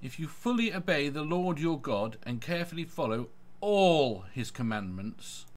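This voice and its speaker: A man's voice: on the high side, very animated, moderate pace. A man reading Bible verses aloud as a guest reader.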